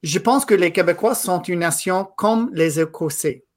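A man in his thirties, a native English speaker from Quebec, giving a guest interview in a thoughtful, halting way. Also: sits high. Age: 30s. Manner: thoughtful, halting, with frequent pauses and restarts. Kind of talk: casual interview conversation.

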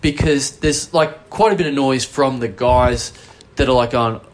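A man's voice: medium pitch, unhurried. Rambling Australian man telling a story. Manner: rambling, swears casually, self-mocking. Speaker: podcast host. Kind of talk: casual storytelling chat.

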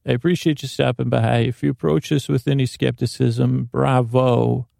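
A man's voice: mid-range voice, little pitch movement, normal speed, creaky, a little mellow, dry recording, studio quality. A man hosting a sleep podcast, rambling through a meandering bedtime monologue and stumbling over words on purpose. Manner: rambling, self-interrupting, botches words on purpose.

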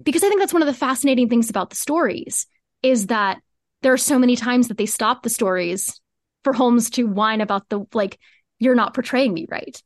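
A woman's voice: a little high, fairly quick, dry recording, clean studio sound. A woman giving earnest, reflective answers at length as a guest in a conversational podcast interview. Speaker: podcast guest, co-creator of a fiction podcast.

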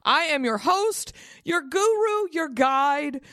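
A woman's voice: sits high, expressive, takes it slowly, a bit bright, no room sound, studio quality. A woman in her thirties hosting a comedic beauty podcast in a bubbly, theatrical, playful way over a video call. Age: 30s. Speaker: podcast host.

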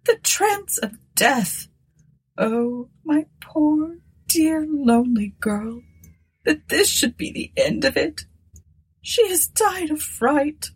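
A woman's voice: high-pitched, very animated, moderate pace, pitched above the performer's own voice, poor audio. A woman voicing an anxious, grieving old serving woman with a plaintive manner, in a gothic storybook reading. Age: older.

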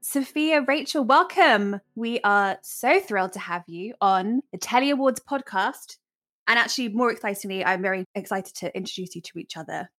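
A woman's voice: mid-range voice, animated, moderate pace, a bit bright, faint music behind. A woman hosting a podcast interview, upbeat and gushing, laughing often as she introduces her guests. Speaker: podcast host.

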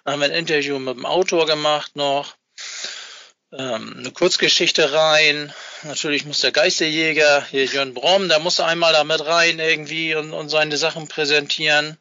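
Sharp, high-voiced man on internet call. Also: fairly even intonation, moderate pace, no echo, highs cut off. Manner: rambling, colloquial. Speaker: interview guest, fan club founder. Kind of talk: casual interview talk. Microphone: Skype call.

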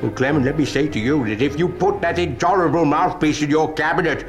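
A man's voice: a little high, quick pace, lightly acted. A man plays a grumbling old British statesman of the war years, pompous and sardonic. He spars in a political drama with combative grandeur, over faint music. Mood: scornful, combative. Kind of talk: political stage-drama dialogue.

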